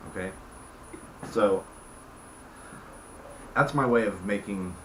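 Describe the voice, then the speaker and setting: A man hosting and casually explaining game rules like a dungeon master, often checking that listeners follow. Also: sits low. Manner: informal, checks listeners follow with tag questions. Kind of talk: casual explanatory talk to camera. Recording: echoey room with background noise.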